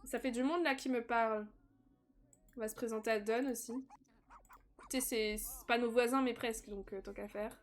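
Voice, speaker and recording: A young woman giving casual live-stream commentary while playing a life-simulation game, chatty, laughing often and breaking into excited squeals. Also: a little high, moderate pace.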